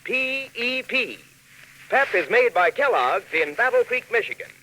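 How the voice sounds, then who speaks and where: A man's voice: very high-pitched, big pitch swings, a little slow, pushed and bright, rough recording. A man delivering a scripted 1940s radio advertisement as a folksy announcer talking to children, on a hissy old recording. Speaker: announcer and cereal pitchman.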